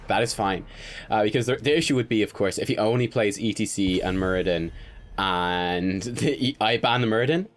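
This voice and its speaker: Casting: young man with a head cold, stuffed up, lowish voice, chatty game analyst. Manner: chatty, analytical, with little laughs. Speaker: gaming commentator. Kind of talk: casual game commentary over draft.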